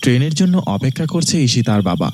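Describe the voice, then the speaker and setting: A man's voice: mid-range voice, big pitch swings, fast talker, noisy background. A man narrating a scripted horror story as its storyteller.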